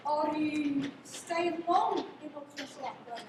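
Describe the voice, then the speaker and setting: A woman acting a friendly, musing Scottish village cart driver in a radio play. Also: slow. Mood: folksy, musing. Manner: folksy, musing. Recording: echoey, distant livestream with rumbling noise.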